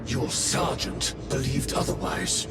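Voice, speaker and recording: An actor playing a stern, suspicious military chaplain in a video game, speaking gravely and with menace. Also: male voice, medium pitch, close to an everyday voice. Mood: stern, accusing. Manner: slow, grave, menacing delivery. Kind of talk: dramatic video game acting. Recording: distant roomy sound, loud music.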